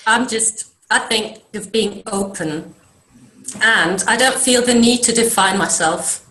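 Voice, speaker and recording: Soft-spoken Danish-born woman, roomy sound. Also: mid-range voice, slow pace. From Denmark. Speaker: guest, creative mentor. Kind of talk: video interview conversation. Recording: echoey room sound.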